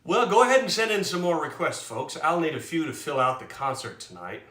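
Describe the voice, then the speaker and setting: A man with a loud, bright speaking voice chats casually and pokes fun at himself between songs at the piano. He sounds a little distant from the microphone, with the roomy echo of a reverberant space. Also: fairly high pitch, very animated, fairly quick. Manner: chatty and self-mocking, chuckling. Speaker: piano entertainer hosting a live stream.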